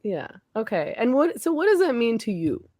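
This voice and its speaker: A woman with a Canadian accent, hosting a casual interview. She sounds relaxed and chatty and laughs easily. The recording is dry and close, with no room sound. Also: fairly high pitch, normal speed, no room sound. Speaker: podcast host.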